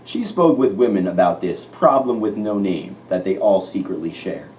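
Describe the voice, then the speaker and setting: A man's voice: deep voice, lively intonation, moderate pace, a little mellow. A man playing an earnest 1950s news anchor in an amateur scripted mock newscast. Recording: distant mic, faint noise.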